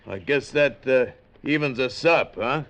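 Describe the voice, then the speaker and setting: An actor playing a blunt, loyal gold prospector in a 1940s radio adventure drama, gruff and commanding. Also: male voice, medium pitch, unhurried, dull audio. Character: rough, loyal jungle gold prospector man. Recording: old radio broadcast with loud hiss.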